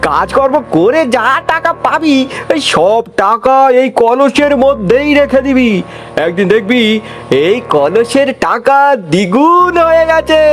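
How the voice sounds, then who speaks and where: A scheming cartoon monkey thief with an extremely high voice. The trickster talks in cocky, wheedling exclamations, with light music behind. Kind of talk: comic animated cartoon dialogue.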